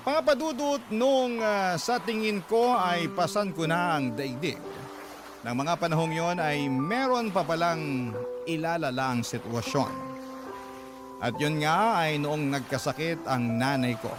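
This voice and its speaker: Casting: burdened young Filipino father confiding on radio, higher-than-average voice, sorrowful pauses, over music. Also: lively intonation. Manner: halting, sorrowful, earnest. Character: troubled young father confiding his sad life story. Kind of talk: dramatized letter narration on Filipino radio.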